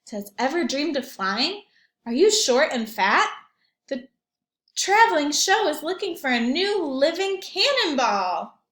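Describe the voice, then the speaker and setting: A woman teacher reading aloud and talking to young children in an expressive storytelling manner, with questions and asides. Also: high voice, unhurried, roomy sound. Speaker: primary school teacher reading aloud to pupils.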